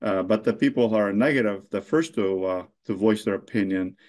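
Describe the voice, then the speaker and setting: A middle-aged man born in Lebanon, a mayor answering interview questions in a halting way, full of fillers and restarts. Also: on the low side, darkish tone.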